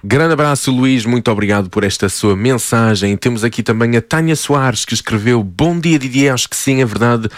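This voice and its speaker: A male radio host, briskly reading listeners' messages aloud. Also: medium pitch, dry recording, studio quality. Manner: brisk, reads messages with short greetings.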